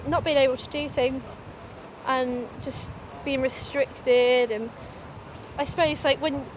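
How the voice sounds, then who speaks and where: A woman's voice: sits high, steady tone, takes it slowly, background noise. A 19-year-old woman giving a candid documentary interview, informal and a little hesitant, as if thinking aloud. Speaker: young mother being interviewed.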